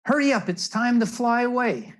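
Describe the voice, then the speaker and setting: A man's voice: very high-pitched, moderate pace, voice pushed up. A man voicing an encouraging parent shorebird in a children's storybook, urging the chicks on emphatically. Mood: urgent.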